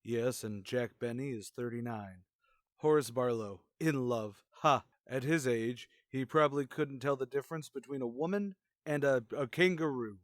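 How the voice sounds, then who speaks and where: A man's voice: medium pitch, normal speed, no room sound, natural voice. An actor playing a grumpy, sarcastic older male school principal in a radio comedy, speaking in a dry, withering, pompous way. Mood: grumbling, scornful. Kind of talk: old-time radio comedy acting.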